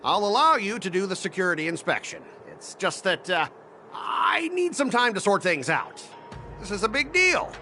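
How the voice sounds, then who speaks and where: A man's voice: sits high, sing-song, moderate pace, pushed and bright, faint music behind, exaggerated voice. A man playing an irritable, blustering middle-aged corporate cargo trader in a video game, exasperated and sarcastic in a theatrical way. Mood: grudging, flustered.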